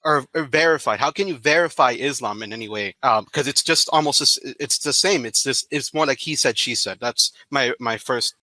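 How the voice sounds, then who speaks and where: A man's voice: medium pitch, brisk, hardly any pauses, pushed and bright, no echo. A man from Florida on a video call, asking questions in a hesitant, casual way with many ums and restarts. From Florida, United States. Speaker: caller with questions. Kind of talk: casual chat.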